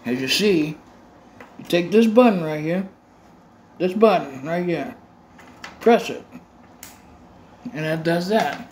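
A man talks through a casual home review, filming on a phone he holds himself. His voice sits a little high and wanders, restarting phrases as he goes. The room is echoey, with a faint hum of ambience behind him. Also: animated, slow, long pauses, darkish tone. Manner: rambling, repeats and restarts phrases. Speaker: cigar vlogger. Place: home room with bare walls. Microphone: phone held while filming.

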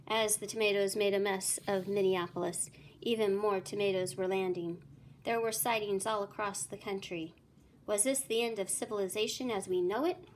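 A woman's voice: mid-range voice, fairly even intonation, moderate pace, poor audio. Woman reading aloud over background noise. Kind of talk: expressive children's storybook narration.

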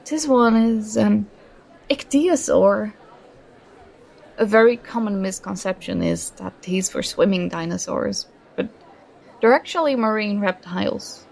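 A woman's voice: medium pitch, creaky, a little mellow, low-quality recording. A young woman playing a nerdy, affectionate girlfriend who gets flustered and bashful, in an ASMR roleplay. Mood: explaining, nerdy. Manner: bashful, halting, playfully protesting.

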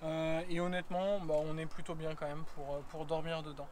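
A man's voice: fairly high pitch, flat delivery, a little fast, a little mellow, a little hiss or hum. A man in his thirties giving a casual vlog walkthrough, chatty with many fillers, filmed on an action camera. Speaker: travel vlogger. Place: roof tent on a car, then outdoors in bush.

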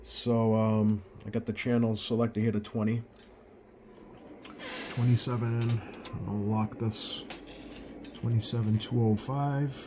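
Casual man with clear background noise. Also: on the low side, flat delivery, slow pace, long pauses, dark tone. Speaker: radio repair hobbyist giving a tutorial. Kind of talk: casual hands-on tutorial. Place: indoor electronics workbench. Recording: clearly noisy workshop recording.

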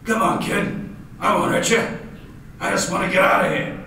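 Man doing a gruff closet monster, echoey. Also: high-pitched, steady tone, normal speed, sharp tone, pitched above the performer's own voice. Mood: wheedling. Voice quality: gruff, hissed. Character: pleading, wheedling monster hidden in a closet. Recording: distant, very echoey room, noisy.

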